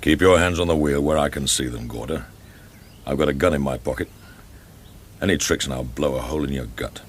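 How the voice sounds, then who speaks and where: An actor playing a gruff, suspicious government security chief, curt, sardonic and threatening, in a radio thriller. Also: male voice, very deep voice, fairly quick, slight background noise. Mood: menacing, guarded.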